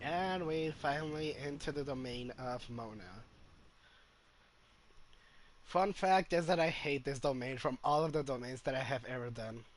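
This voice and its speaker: A man giving casual playthrough commentary as a gamer, halting and musing as he goes. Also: medium pitch, a little hiss or hum. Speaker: gamer, video host.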